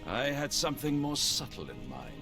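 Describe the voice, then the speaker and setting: Calculating army leader: formal, measured, varied intonation, fantasy game with prominent score. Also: male voice, medium pitch, very animated, creaky. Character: composed, scheming male military lord. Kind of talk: fantasy video game voice acting. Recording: loud game music behind.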